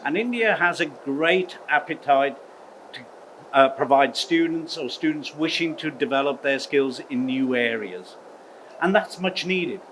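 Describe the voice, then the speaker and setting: A middle-aged man from the UK, a university head of engineering, giving a measured formal address to students. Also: fairly high pitch, expressive, a bit bright, slight background noise, low-quality recording. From United Kingdom. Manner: measured, uses "okay?" as a check-in.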